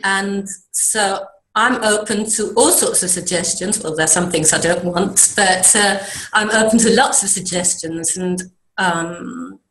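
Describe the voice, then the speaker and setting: A middle-aged woman from Denmark, a guest in a video interview, speaking softly and reflectively and often trailing off quietly. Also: medium pitch, a little slow. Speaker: guest, creative mentor. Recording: echoey room sound.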